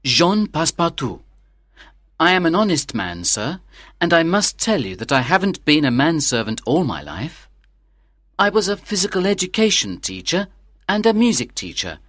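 A man's voice: a little high, big pitch swings, normal speed, a bit bright, no room sound, clean studio sound. A man playing an earnest young French manservant in a dramatized audiobook, polite, deferential and eager.

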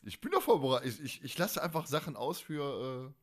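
A man's voice: medium pitch, very animated, a little fast, a bit bright. Colloquial, rambling man hosting a podcast. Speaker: podcast host. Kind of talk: casual chatty fan podcast discussion.

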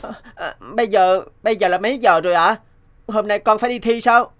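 A man's voice: sits high, fairly quick, a bit bright, slight background noise. A man voicing a helpless boy genius in a cartoon, whiny and plaintive, easily brought to tears. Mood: groggy, alarmed.

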